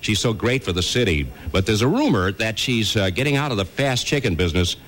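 A man's voice: lowish voice, big pitch swings, brisk, a bit bright. A middle-aged man hosting live morning radio with jovial, joking banter. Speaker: radio host.

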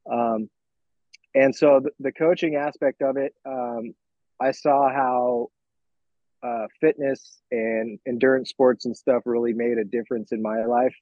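An American man in his forties speaks as a coach being interviewed, candid and reflective, choosing his words haltingly. His middle-pitched voice comes through dry, with a slightly dull, lo-fi sound. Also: fairly even intonation, no room sound. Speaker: fitness coach, podcast guest. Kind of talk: informal interview, personal storytelling.

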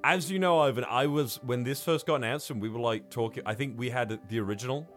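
A man's voice: medium pitch, fast talker, soft background music. A man in casual podcast chat about films, rambling and swearing freely as a host. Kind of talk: casual film review chat.

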